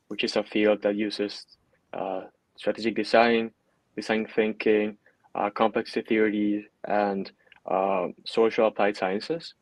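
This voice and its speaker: A man speaks as a panelist in a discussion. His voice sits a little low, and the sound is dry, with no room echo. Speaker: panelist, design strategist.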